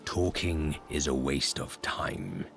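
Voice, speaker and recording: Super deep slow stoic game hero, terse and solemn, music behind. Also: male voice, sing-song, gravelly, fairly bright tone, full character voice. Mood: cold, resolute. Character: grave, stoic, blunt male hero warrior. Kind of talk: fantasy video game acting.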